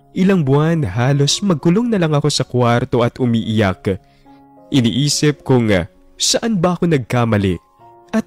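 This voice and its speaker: A man's voice: mid-range voice, a little mellow. A young man narrating his own heartbreak in the first person, in a reflective, wistful way.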